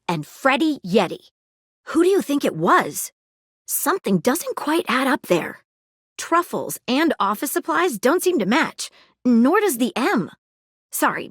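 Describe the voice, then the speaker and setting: A woman's voice: on the high side, no room sound, studio quality. A woman voicing an eager, inquisitive young female detective in a video game, earnest and full of facts. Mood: analytical. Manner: earnest, inquisitive, fact-loving. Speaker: voice actor. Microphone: studio mic, dry booth.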